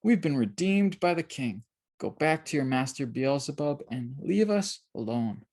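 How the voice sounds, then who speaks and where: Storybook heroine read by a narrator: brave girl, firm, earnest, slightly raised, animated pitch. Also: unhurried. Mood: defiant. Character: brave, faithful girl leading young pilgrims.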